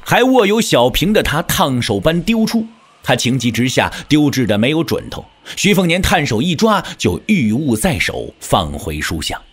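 A man's voice: medium pitch, normal speed, slight background noise. A man narrating a martial-arts story in a theatrical, scripted storytelling style. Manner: theatrical, expressive.

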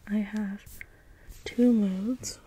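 A woman playing a gentle, naive, literal-minded robot caretaker in an ASMR role play, in a breathy whisper with halting phrases. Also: medium pitch, steady tone, slight background noise. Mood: matter-of-fact, obliging. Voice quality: breathy whisper, mostly unvoiced. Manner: slow, halting, measured phrases, literal and matter-of-fact. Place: indoors, small room, close in front of backdrop. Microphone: close ASMR microphone.

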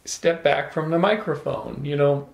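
A middle-aged man telling an animated, playful story to a small audience, holding a handheld microphone. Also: on the high side, unhurried, gravelly. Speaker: salon speaker. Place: room with small live audience. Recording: echoey room.

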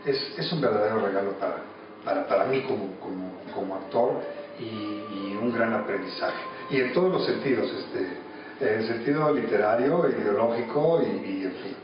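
A Mexican man in his thirties giving reflective interview answers in a halting way, repeating words as he searches. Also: lowish voice. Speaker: interviewee, radio drama actor. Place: small cluttered office. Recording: echoey room, distant mic, muffled, music behind.

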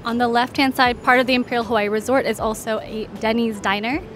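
A woman's voice: fairly high pitch, steady tone, fast talker, fairly bright tone, faint music behind. A woman hosting a travel vlog, narrating a walking tour in a casual, informative, conversational way, pointing things out in an orderly fashion. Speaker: travel guide and vlog host.

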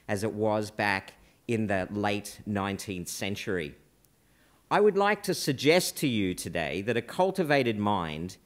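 A man, giving a measured, emphatic welcome address from a lectern in a large lecture theatre. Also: medium pitch. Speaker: university leader giving welcome speech. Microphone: lectern microphone.

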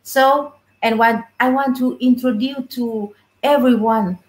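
A middle-aged woman from Vietnam speaks English with a Vietnamese accent. Her voice sits a little high and she takes her time, building simple, halting phrases as a guest in a conversational interview. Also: fairly even intonation. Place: small indoor room with puppets, on a video call. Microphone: video call device at arm's length.

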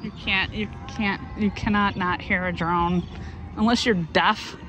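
Travel vlogger, slow-paced delivery, casual rambling chat, irreverent joking, bits of singing, music in the background. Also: mid-range voice, steady tone. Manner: irreverent, joking, often breaks into bits of song.

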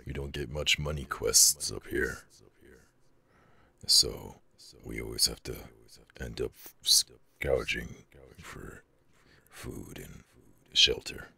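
A massive male dragon, earnest, proud and easily flustered, for an ASMR audio roleplay. The voice is extremely low and heavily gravelled, with a hard, sharp edge. He feels his way through sentences slowly, stammering and hesitating. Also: no echo, full character voice. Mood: hesitant, earnest. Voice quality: very deep, gravelly growl with breathy rasp.

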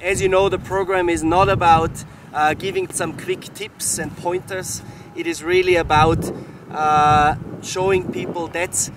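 A middle-aged man with a slightly high voice talks to camera as a coach and camp host. His delivery is halting and unscripted. Clear outdoor noise sits behind him on the open slope. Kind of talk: talk to camera, promotional recap. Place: outdoors on a snowy mountain slope. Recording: clearly noisy outdoor location sound.

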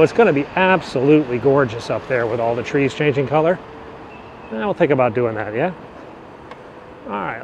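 A man casually narrating a bike ride through the city, rambling and correcting himself as he goes, filmed outdoors on an action camera. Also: a little high. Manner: chatty, rambling, self-correcting. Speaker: vlogger. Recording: outdoor street and traffic noise. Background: traffic and city ambience.

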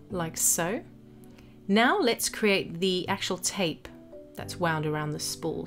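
A woman narrating a step-by-step software tutorial as an instructor, explaining each action as she goes. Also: mid-range voice. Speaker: graphic design tutorial instructor. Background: background music.

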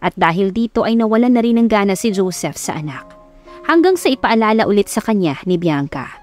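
A woman's voice: medium pitch, lively intonation, a little fast, darkish tone. A woman with a Filipino accent reading a scripted story as an audiobook narrator, in a dramatic storytelling style. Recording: background music under narration. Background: music.

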